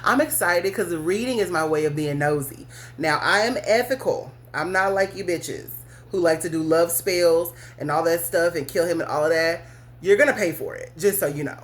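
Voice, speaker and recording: A woman's voice: on the low side, moderate pace. A woman hosting a podcast, telling a personal story to her listeners in a candid, blunt way, cursing and laughing often. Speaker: podcast host.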